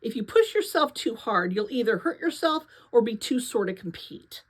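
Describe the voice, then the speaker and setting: A woman's voice: fairly high pitch, breathy edge. A woman voicing a serious, athletic teenage boy in a storybook, speaking evenly and bluntly. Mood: measured, firm. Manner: slow, even, unruffled delivery.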